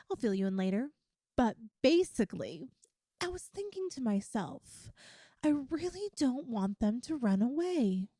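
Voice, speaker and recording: A woman plays a young yandere girlfriend in an intimate ASMR roleplay, sweet, clingy and obsessive. Her voice is high and mellow, recorded very dry. She chats coyly to the listener, halting and stretching words for emphasis. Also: no echo, exaggerated voice. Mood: clingy, chatty. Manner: coy, chatty, halting with drawn-out emphasis. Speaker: audio roleplay performer.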